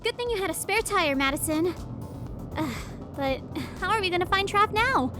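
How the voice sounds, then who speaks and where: A woman voicing an excitable, naive young female partner in an audio drama, eager and questioning. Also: very high-pitched, moderate pace, over music. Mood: eager, puzzled.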